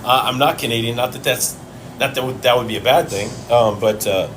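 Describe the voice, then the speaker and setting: A man's voice: mid-range voice, fairly quick, a bit bright, a little hiss or hum. A man from Chicago hosting a casual livestream Q and A from home, blunt and profane, answering questions while he eats. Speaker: fitness livestream host.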